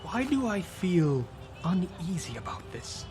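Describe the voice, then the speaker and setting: A man's voice: high voice, big pitch swings, measured pace, over music. A man playing a wry, wary young adventurer with casual, teasing talk in a tabletop radio play.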